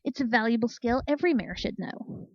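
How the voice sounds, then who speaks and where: A woman's voice: on the high side, lively intonation, fairly quick, vocal fry, no room sound. A brash pegasus mare from a storybook, voiced by a woman. She is cheeky, bawdy and blunt, with a matter-of-fact way of talking, recorded dry and slightly muffled. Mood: brisk, helpful.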